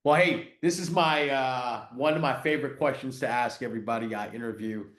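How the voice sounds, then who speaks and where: A man's voice: medium pitch, a little fast, a bit bright. A man hosting a podcast, chatting in a laid-back way and often adding "man" and "right". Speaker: podcast host. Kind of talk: casual interview chat.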